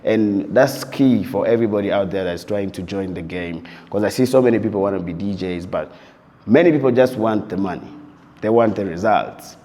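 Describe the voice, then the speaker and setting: A man talks through his life in music with a low, warm voice. He speaks in a loose, storytelling way, dropping "you know" into nearly every thought. A long reverb trails behind his words. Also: a little fast. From Uganda. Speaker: DJ and producer. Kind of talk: reflective interview talk. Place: interview set while on tour abroad.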